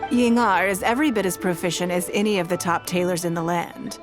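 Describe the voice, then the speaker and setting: A woman's voice: fairly low pitch, brisk, music in the background, close to an everyday voice. A woman voicing a poised, dignified noblewoman in a video game, formal and composed with a cool edge of exasperation.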